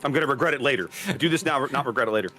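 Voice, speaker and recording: A man from Texas co-casting a game tournament stream, with a naturally loud, carrying voice. His pitch is middling, and faint music plays behind him. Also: rapid-fire. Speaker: co-caster. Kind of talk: casual stream chat.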